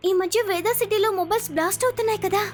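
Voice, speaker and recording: An earnest child from a cartoon. The voice is extremely high and quick, urgent and pleading, with faint music behind. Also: female voice. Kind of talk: Telugu cartoon dub acting.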